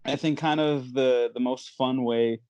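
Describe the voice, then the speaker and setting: A man's voice: medium pitch, fairly even intonation, measured pace, a little mellow, no echo, dull audio. A man hosting a casual movie podcast, talking in a chatty, enthusiastic, rambling way. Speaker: podcast host.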